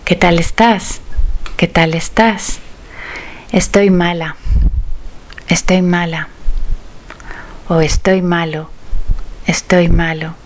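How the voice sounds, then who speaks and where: Slow, careful woman drilling phrases. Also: fairly low pitch, fairly even intonation, a little hiss or hum. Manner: slow, careful, clearly enunciated repetition. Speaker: language teacher. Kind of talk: slow phrase drill, each line said twice.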